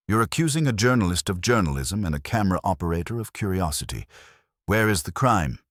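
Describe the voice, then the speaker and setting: A man's voice: low-pitched, very animated, fairly quick, no room sound, studio quality, pitched above the performer's own voice. A man voicing a slick, smug male media provocateur in a mystery story, glib and defiant.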